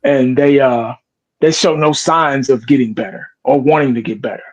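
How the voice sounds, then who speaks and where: A man's voice: medium pitch, normal speed, poor audio. A man, a sports video creator talking candidly in a casual podcast chat, profane and rambling with restarts. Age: 40s.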